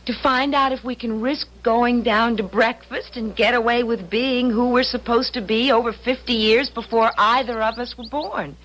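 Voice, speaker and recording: An actress playing an anxious, conscience-stricken young wife in an old-time radio drama, speaking with earnest, emotional emphasis. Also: female voice, on the high side, steady tone, moderate pace, few pauses, fairly bright tone, rough recording.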